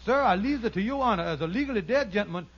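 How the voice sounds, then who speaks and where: An actor playing a scheming, fast-talking con man in a 1940s radio sitcom, with blustering, stammering, folksy ungrammatical speech. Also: high voice, animated. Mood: flustered. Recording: muffled vintage radio recording.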